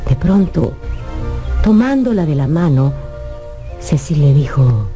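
A man narrating a classic story for a radio drama in an expressive storytelling manner. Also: on the high side, slow, faint music behind.

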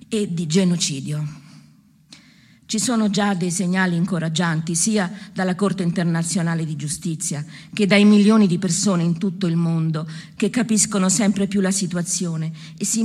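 Woman w a lowish voice reading out a political statement, measured and formal. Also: a little mellow. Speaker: speaker at a public solidarity event.

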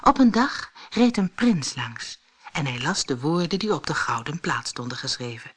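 A woman reading a fairy tale as a storyteller, in expressive, dramatic and measured scripted narration. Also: low voice, husky.

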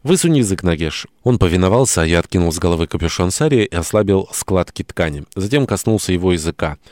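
A man's voice: low-pitched, quick pace, darkish tone, no echo, clean studio sound. A man reading a scripted first-person story as an audiobook narrator, acting out the dialogue as he goes.

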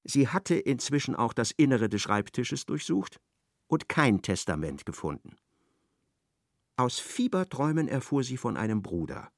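A man narrating a literary radio play in measured, expressive storytelling. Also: medium pitch, no echo, studio quality.

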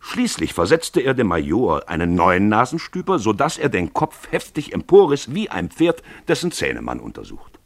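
Male narrator for radio drama, deep and raspy, dramatic literary storytelling. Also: wildly swooping pitch, normal speed, hardly any pauses, no room sound.

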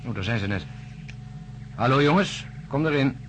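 A seasoned male astronaut, played by an actor speaks in a medium-pitched voice over noticeable cabin noise. He is brisk and wry, a man in command in a space radio play. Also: lively intonation, natural voice. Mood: brisk, businesslike. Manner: brisk, matter-of-fact, wry. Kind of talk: science fiction radio drama acting. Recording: noisy drama recording with cabin ambience.

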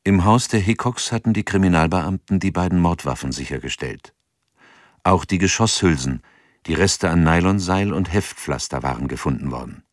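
A sober chronicler narrates a documentary radio drama. His voice is very deep, with animated intonation. The sound is dry, with no room around it. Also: normal speed, no room sound. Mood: sober, factual. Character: sober male chronicler of a crime case.

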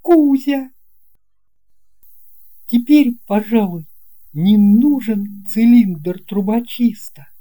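A man voicing a plucky, scheming young boy hero who thinks aloud deliberately in a storybook. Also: high voice, warm tone, pitched above the performer's own voice, heavily acted. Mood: pondering.

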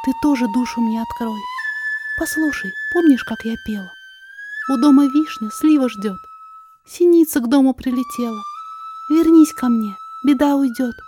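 A high, very animated spirit mother, played by a woman, tender and pleading as she recites dramatic verse in an audio story. Music plays behind her. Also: moderate pace. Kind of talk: dramatic verse podfic reading.

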